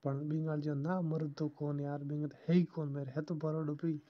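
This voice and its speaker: The voice is a caller's, medium in pitch and flat in melody. The talk is casual and private, as on a phone call. The recording is dull and lo-fi. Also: normal speed.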